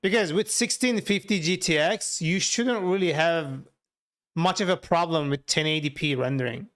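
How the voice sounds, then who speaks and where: A man's voice: fairly high pitch, normal speed, a bit bright. A man with a Turkish accent and native Turkish, chatting casually on a livestream as he works and answers viewers. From Turkey. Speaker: livestreamer, software developer.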